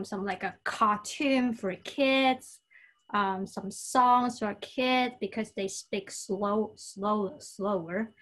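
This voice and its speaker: A woman from China with a Chinese accent and Cantonese as her first language, answering as a podcast guest in a halting, explanatory, conversational way. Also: on the high side.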